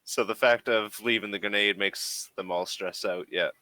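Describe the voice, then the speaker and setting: A man's voice: lowish voice, steady tone, moderate pace, pushed and bright, dry recording. A man acting as game master, casually narrating and asking questions in a hesitant way.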